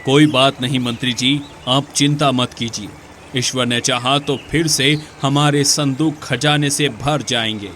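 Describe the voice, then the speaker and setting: A man playing a kind, pious king in a moral story, speaking gently and reassuringly. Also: medium pitch, fairly even intonation, unhurried, a little hiss or hum, close to an everyday voice.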